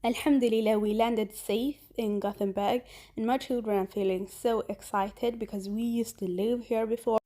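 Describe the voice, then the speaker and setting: A woman's voice: fairly high pitch, fairly even intonation, normal speed, warm tone. Somali woman casually narrating her vlog. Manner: chatty, with frequent fillers and restarts. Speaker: vlogger.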